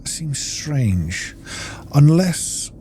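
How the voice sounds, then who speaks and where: Male gamer, unhurried delivery, casual think-aloud muttering, clearly noisy recording. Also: fairly high pitch, sing-song, warm tone. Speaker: gaming video host. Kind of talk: casual gameplay commentary, talking to himself.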